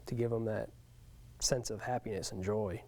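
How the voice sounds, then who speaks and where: A man speaks earnestly and plainly in an interview. His voice is very mellow and relaxed, with little rise and fall in pitch. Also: moderate pace. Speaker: military veteran being interviewed. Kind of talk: unscripted interview answers.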